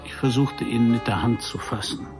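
An actor playing a wry private detective who narrates his case in a dry, deliberate, sardonic way for a radio crime play. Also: mid-range voice, music in the background, close to an everyday voice. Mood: dry, tense. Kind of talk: scripted first-person noir radio play narration.

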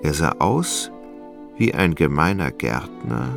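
A man with a very deep, creaky voice giving literary narration in a radio play. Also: sing-song, slow, over music.

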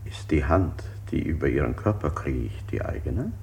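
An actor playing an unsettling interrogator in a German radio play, putting insistent, probing questions. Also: male voice, extremely low pitch, big pitch swings, moderate pace, creaky, darkish tone, full character voice. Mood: probing, menacing. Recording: hissy 1960s radio play recording.

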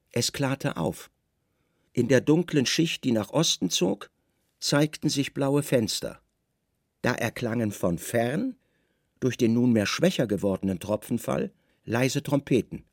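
A man narrating a radio drama in an expressive, theatrical literary storytelling style. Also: lowish voice, creaky, breathy edge, dry recording, studio quality.

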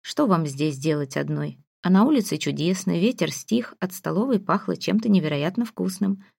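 A woman's voice: on the low side, expressive, moderate pace, gravelly, darkish tone, no echo, studio quality. A woman voicing a polite, awkward male academy colleague in an audiobook, courteous and tentative. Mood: friendly.

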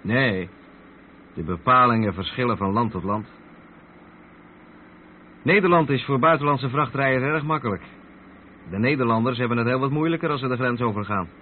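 Casting: male actor as seasoned lorry driver, medium pitch, patient explainer, Netherlands Dutch accent; narrow, noisy old radio drama recording. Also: normal speed, long pauses, natural voice. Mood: patient, explaining. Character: experienced long-haul trucker patiently explaining to his younger mate. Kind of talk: Dutch radio play acting. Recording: muffled old radio play, vehicle noise.

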